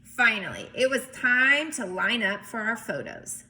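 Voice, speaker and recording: A woman reading a storybook aloud in an expressive, emphatic way, with chatty asides as if to young children. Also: fairly high pitch, unhurried, poor audio. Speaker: teacher or reader reading a picture book aloud.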